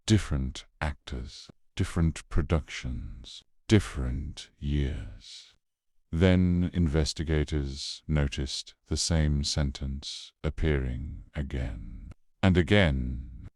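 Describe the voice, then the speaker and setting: A man with an extremely deep voice narrates a horror case file in documentary style. His delivery is slow and solemn, with deliberate dramatic pauses. The sound is close and dry. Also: darkish tone, no room sound.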